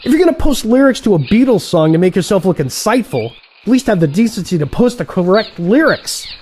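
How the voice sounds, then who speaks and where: A man's voice: high-pitched, very animated, fairly quick, darkish tone, husky, heavily acted. A man acting as a teasing, grumbly friend in an audio play, with a wry, needling manner. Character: teasing, grumbly male friend of an old assassin.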